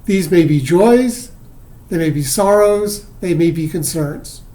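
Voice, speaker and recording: A worship leader giving gentle, welcoming service announcements during a remote online service, with a few small restarts. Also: medium pitch, lively intonation, slow.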